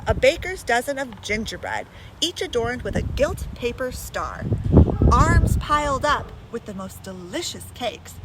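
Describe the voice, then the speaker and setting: Female storyteller, high-pitched, very lively intonation, chatty with young listeners; windy outdoor recording with loud noise. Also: a little slow. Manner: animated, addresses young listeners with questions. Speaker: storyteller reading a children's book aloud to listeners. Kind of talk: expressive children's read-aloud with chatty asides. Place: outdoors in blustery wind. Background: wind, urban ambience, vehicles.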